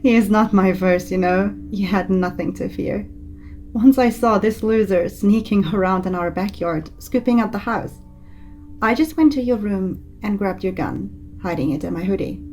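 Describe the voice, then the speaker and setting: A woman's voice: mid-range voice, moderate pace, darkish tone, faint music behind, close to an everyday voice. A woman playing a sulky teenage daughter with a murderous streak in a horror dramatic reading, casual and petulant. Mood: gleeful, smug.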